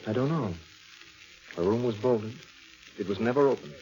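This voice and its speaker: A man playing a gentle, concerned bedside attendant, grave and soothing, in 1940s radio style. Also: medium pitch, normal speed, lightly acted. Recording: muffled old radio recording.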